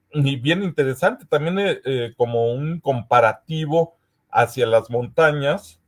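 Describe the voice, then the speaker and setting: A Mexican man with a mid-pitched voice hosts a talk session at an unhurried pace. He is informal and hesitant, often stopping to look for the next word as he moves between reading comments and chatting. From Veracruz, Mexico. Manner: hesitant, searching for words with frequent pauses. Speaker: host of a history conference session. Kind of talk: casual moderating, reading audience comments aloud.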